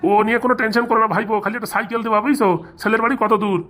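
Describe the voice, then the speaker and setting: A woman's voice: fairly high pitch, fairly even intonation, rapid-fire, fairly bright tone, natural voice. A woman voicing a greedy, eager male village priest in a comic cartoon, wheedling in a rural Bengali accent. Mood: eager, assuring.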